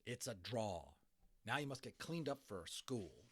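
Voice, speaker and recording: A man's voice: on the low side, very animated, unhurried, fairly bright tone, breathy edge, full character voice. A man voicing a schoolboy who talks firmly and bossily like a parent in a storybook. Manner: firm, scolding. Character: bossy schoolboy imitating stern parents.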